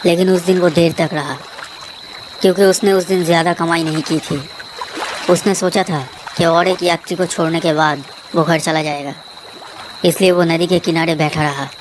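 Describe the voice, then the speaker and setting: A woman's voice: on the low side, steady tone, moderate pace. A woman reading a scripted horror story as its narrator. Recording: noisy track with running water sounds.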